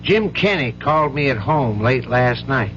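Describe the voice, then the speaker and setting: A man's voice: mid-range voice, expressive, a little slow, a bit bright. An actor playing a middle-aged, folksy, hearty uncle who looks after his brother's family in old-time radio drama. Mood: grim, troubled. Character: genial bank-teller uncle, protective of his brother's family. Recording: dull, noisy old radio recording.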